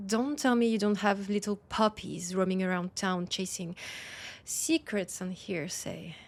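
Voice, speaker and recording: A woman acts out a poised vampire lady with a French accent in an intimate audio drama. She speaks slowly and breathily, often sinking toward a whisper, teasing an old rival while staying guarded. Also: a little mellow. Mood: amused, teasing. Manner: slow, low-key teasing with breathy, near-whispered phrases. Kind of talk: intimate audio roleplay acting.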